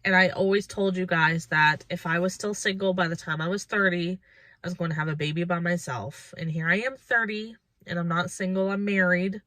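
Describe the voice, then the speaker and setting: Bright-voiced thirty-year-old woman vlogger, chatty. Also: on the low side, fairly even intonation, a little fast, dry recording. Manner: informal, conversational, thinking aloud. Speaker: lifestyle vlogger. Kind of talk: casual reflective chat to viewers.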